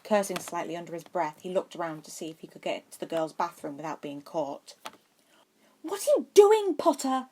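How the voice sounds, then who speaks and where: A woman's voice: deep voice, steady tone, a little fast, hardly any pauses, a little mellow, a little hiss or hum. A woman narrating a scripted story as an amateur audiobook reader.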